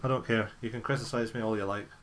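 A man's voice: on the low side, fairly even intonation, fast talker, a bit bright. Grumbling male gamer, noisy recording. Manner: sweary, grumbling, sarcastic. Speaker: gamer making a video. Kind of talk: casual gameplay commentary.

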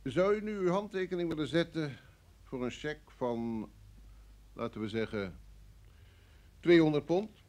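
An actor in a radio play playing a cool, coercive security service official, formal and smoothly insistent. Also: male voice, fairly high pitch, animated, a little slow, long pauses, a little mellow. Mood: pressing.

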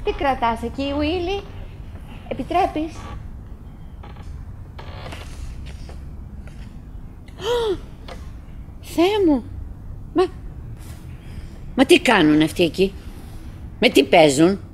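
High-voiced, chattering, fretful wife. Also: female voice, big pitch swings, slight background noise. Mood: shocked, disgusted. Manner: musing, halting, talking to herself and her husband. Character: chattering, fretful, doggedly cheerful middle-aged wife, afraid of solitude. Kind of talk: theatrical radio drama monologue.

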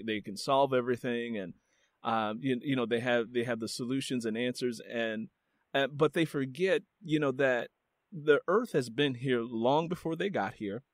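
A man's voice: mid-range voice, no room sound, clean studio sound. A man hosting a podcast interview, musing reflectively and leaning on "you know" as he talks. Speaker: podcast host. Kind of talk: casual interview talk.

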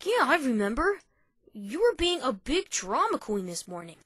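Woman as a high-pitched cheeky little boy dragon. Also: big pitch swings, normal speed, fairly bright tone, no echo, studio quality, full character voice. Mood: casual, cheeky. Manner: cocky, childlike, playful. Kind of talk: cartoon-style fan dub acting.

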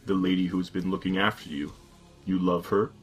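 A man voicing Death as a calm, ancient reaper who speaks slowly and gravely. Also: low-pitched, soft background music. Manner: slow, grave, measured.

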